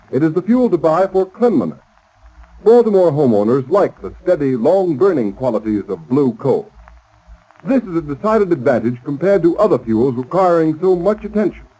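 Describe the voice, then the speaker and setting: A man's voice: a little high, mellow, rough recording. Emphatic male 1930s radio announcer. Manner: emphatic, formal, measured sales delivery. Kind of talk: 1930s scripted radio advertising. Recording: vintage 1930s radio recording.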